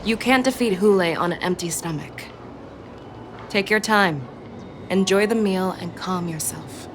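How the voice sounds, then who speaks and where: A woman voicing a confident, seasoned female general and hunter in a video game, speaking in an easygoing, measured way. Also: fairly low pitch, noisy background, natural voice. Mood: relaxed, caring.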